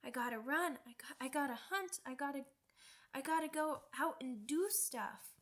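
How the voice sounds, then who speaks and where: A woman voicing an excitable, stubborn young werewolf pup in an ASMR roleplay, with childish, halting, repetitive speech. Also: high voice. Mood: restless, frustrated. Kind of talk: ASMR character roleplay audio drama.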